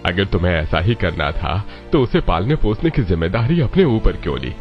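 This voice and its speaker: A man's voice: low-pitched, very animated, brisk, voice pushed down. A man voicing a stern, disapproving older father-in-law in a cartoon, speaking in a reproachful, questioning way. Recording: dull lo-fi voice-over with faint music.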